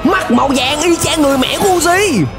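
A young adult man giving animated, exclamatory reaction commentary in slangy speech, close on a desk microphone. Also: very high-pitched, fairly quick, over music. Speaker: reaction video host. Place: small indoor room, webcam setup.

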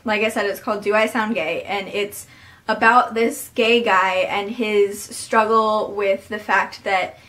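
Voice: mid-range voice, fairly even intonation, a little slow, bright, forceful tone. A young adult vlogger talking straight to a webcam in a small bedroom, earnest and reflective, thinking aloud with plenty of fillers. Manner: earnest and reflective, many fillers, talks with hands. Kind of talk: casual talking-to-camera vlog. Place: small bedroom with posters, indoors.

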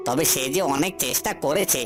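Man playing a gentle, wistful bird prince. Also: fairly high pitch, a little fast, over music, close to an everyday voice. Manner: earnest, wistful. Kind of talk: Bengali fairy-tale cartoon acting.

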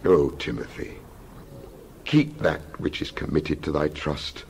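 A man's voice: rumbling low, slow, a little hiss or hum. A man giving a dramatized scripture reading with solemn, emphatic, oratorical delivery. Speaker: Bible reader.

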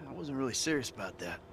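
A man's voice: mid-range voice, natural voice. An actor playing a blunt, threatening middle-aged man who swears a lot, in a game cutscene. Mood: weary. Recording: game audio with background noise.

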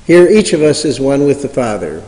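Mellow man leading prayerful meditation. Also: medium pitch, big pitch swings. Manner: slow, measured, reverent, long pauses. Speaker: meditation leader. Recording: reverberant hall sound.

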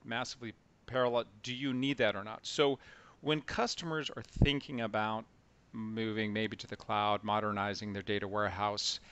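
A man's voice: lowish voice, a bit bright, clean tone. A man hosting an interview, asking loose questions full of fillers over a video call. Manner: hesitant, filler-heavy questions. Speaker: host. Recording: slightly dull call audio.

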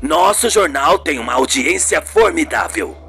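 A performer voicing a supportive, authoritative male TV station boss, measured and reassuring, in a radio soap. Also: medium pitch, lively intonation, creaky, bright, forceful tone, husky, soft background music, voice pushed down, heavily acted.

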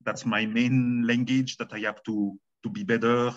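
A man from Switzerland with a Swiss French accent, co-hosting an online meeting in halting English and often repeating words. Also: mid-range voice, flat delivery, dull audio. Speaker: community meeting co-host. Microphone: video call.

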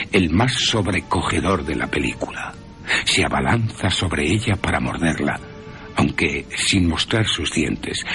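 A man's voice: very deep voice, a bit bright. A man narrating a horror radio drama in a theatrical way, with wry asides.

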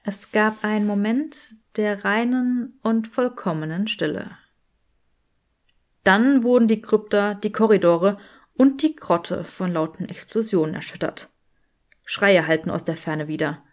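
A woman's voice: mid-range voice, a little slow. Female audiobook narrator, mid-range voice, scripted storytelling.

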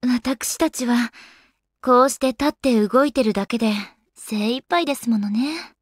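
Refined anime game goddess lady, high voice, proper n polite, super dry clean sound. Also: measured pace, dry recording. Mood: composed, polite. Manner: polite, ladylike, composed feminine speech. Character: elegant young goddess, refined lady and avid gamer. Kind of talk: Japanese video game character voice acting.

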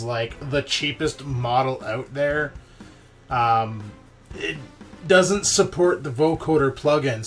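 Guy doing a laid-back software how-to, slangy n a bit sweary, medium pitch, some music. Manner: informal, slangy and mildly profane. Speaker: tech tutorial host. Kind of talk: casual screen-recorded software tutorial. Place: indoor voice-over of a screen recording.

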